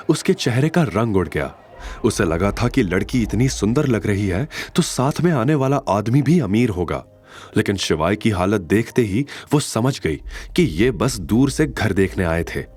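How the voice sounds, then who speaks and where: Expressive male storyteller, lively pitch. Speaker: narrator. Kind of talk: scripted dramatic narration.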